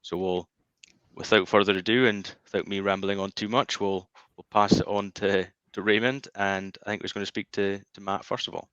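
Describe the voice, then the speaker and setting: A man's voice: fairly low pitch, flat delivery, normal speed, fairly bright tone, clean tone, no room sound. A man giving an informal product presentation from an office, heard over slightly dull, lo-fi call audio. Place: indoor office.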